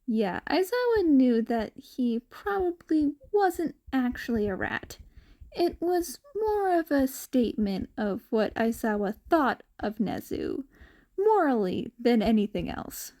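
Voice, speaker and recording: A woman's voice: high voice, sing-song, slow pace, dark tone. A woman narrating a piece of fiction from a script, as an audiobook-style reader. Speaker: podfic narrator.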